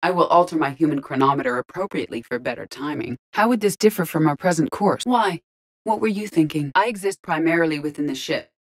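An actress voicing a female artificial intelligence in a video game, speaking in a measured, formal, precise and detached way. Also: low-pitched, expressive. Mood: calm, analytical. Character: calm, analytical female artificial intelligence in an android body.

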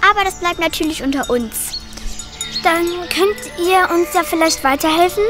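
A woman's voice: extremely high pitch, normal speed. A woman acting in a children's radio play, chatty and cheerful, with music behind her. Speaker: amateur radio play actor.

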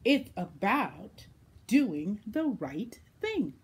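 A woman voicing a bossy, insistent know-it-all cat from a storybook, with emphatic, drawn-out delivery. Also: medium pitch, big pitch swings, husky, exaggerated voice. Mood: insistent, explaining.

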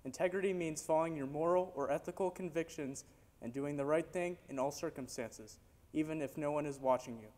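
Brief: teen boy cadet from Oregon, a bit higher voice, formal address, hall reverb. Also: brisk, darkish tone. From Oregon, USA. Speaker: military academy cadet. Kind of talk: formal memorized speech. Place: dark auditorium stage. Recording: echoey hall, distant mic.

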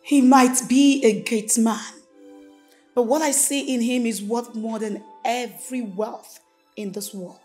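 Woman acting high-voiced, desperate Nigerian daughter. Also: steady tone, unhurried, darkish tone, soft background music, lightly acted. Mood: defiant. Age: young adult. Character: desperate, defiant young daughter married off against her will. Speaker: actress. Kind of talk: emotional film drama acting.

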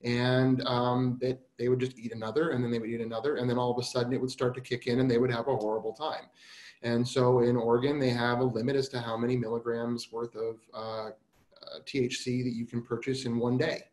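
A middle-aged man speaking as a panelist over a video call, casual and halting with many fillers. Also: medium pitch, level tone, a little mellow. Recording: roomy video call audio.

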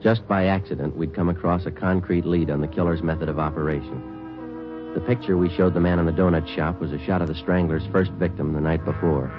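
An actor playing a terse, deadpan police detective narrating a vintage radio crime drama. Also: male voice, sits low, a little fast, lightly acted. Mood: matter-of-fact. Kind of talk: 1950s radio drama narration, clipped and factual. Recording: muffled old radio recording with music.

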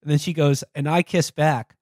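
An American man hosting a podcast, giving casual comedic commentary and reading aloud in a halting, wry way. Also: on the high side, steady tone, darkish tone, no room sound, studio quality. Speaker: podcast host.

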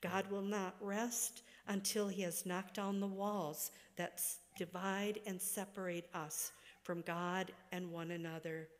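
A middle-aged or older woman preaching a sermon from a church pulpit, phrase by deliberate phrase, letting sentence ends trail away. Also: on the low side, flat delivery. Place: church sanctuary, at a wooden pulpit. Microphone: gooseneck pulpit microphone. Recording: long reverberant church hall.

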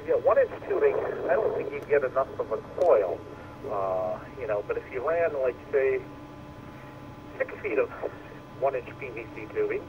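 Lively-pitched man on muffled two-way radio. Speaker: amateur radio operator. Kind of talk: casual technical advice over radio. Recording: dull lo-fi radio audio, loud noise.

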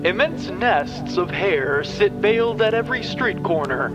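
A man reads a scripted dystopian tale as its narrator. His delivery is slow, measured and dramatic, in a slightly high voice, with clear music underneath. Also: animated, highs cut off.

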